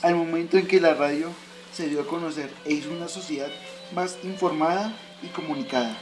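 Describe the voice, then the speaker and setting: A man acts out a patient father in a scripted radio drama. His voice sits high for a man and he lectures his son in a didactic, recited way. Music plays faintly behind him. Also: brisk, darkish tone, close to an everyday voice. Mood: explanatory.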